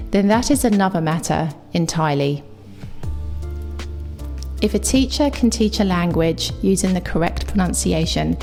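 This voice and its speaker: A woman with a London accent, an English teacher delivering a scripted, reflective video essay with clear opinions. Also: on the low side, a little mellow. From England.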